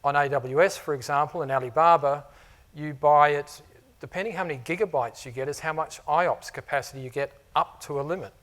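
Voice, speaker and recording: A man's voice: fairly high pitch, brisk, fairly bright tone. A middle-aged man with a New Zealand accent mixed with Australian, giving a conversational conference talk to customers, with many "you know"s and "uh"s. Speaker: technology architect presenting to customers. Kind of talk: conference presentation. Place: conference room before a live audience.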